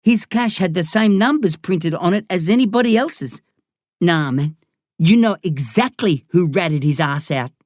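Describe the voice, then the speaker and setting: A man's voice: high-pitched, lively intonation, measured pace, darkish tone, no echo, clean studio sound, pitched above the performer's own voice. A man voicing a volatile young drug boss who swaggers, taunts and swears, as a character in an audiobook. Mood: serious.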